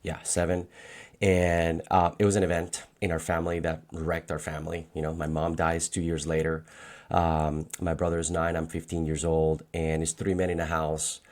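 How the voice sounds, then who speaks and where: A man's voice: low-pitched, monotone, normal speed, a little mellow. A man around forty with a Colombian accent and Spanish as his first language, talking conversationally as a podcast guest. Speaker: podcast guest, financial agent. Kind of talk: conversational personal storytelling.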